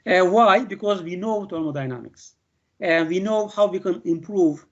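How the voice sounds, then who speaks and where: Older turkish guy teaching a class over a video call, lots of uh and okay, dull lo-fi sound. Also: sits high. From Turkey. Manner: unhurried, many fillers and "okay" checks. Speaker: university lecturer. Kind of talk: recorded online lecture. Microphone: computer mic on video call.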